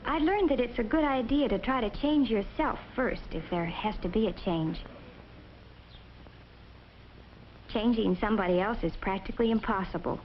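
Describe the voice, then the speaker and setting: A woman's voice: fairly high pitch, a little fast, hardly any pauses, close to an everyday voice. An actress playing a young college woman who narrates reflectively in a 1950s educational film. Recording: muffled, hissy 1950s film soundtrack.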